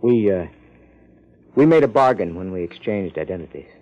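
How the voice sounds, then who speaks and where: A man acting a subdued, earnest young male heir in a 1950s radio drama, speaking formally and with measured care. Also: sits low, lively intonation. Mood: solemn, earnest. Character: earnest, subdued twenty-one-year-old male millionaire heir. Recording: muffled 1950s radio recording.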